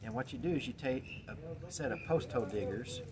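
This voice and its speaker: A man in his sixties casually explaining a how-to method in a plain, halting way, searching for words. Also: mid-range voice, expressive, a crowd in the background. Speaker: guest explaining a home method. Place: indoors in a cluttered shop. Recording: noisy room with background ambience.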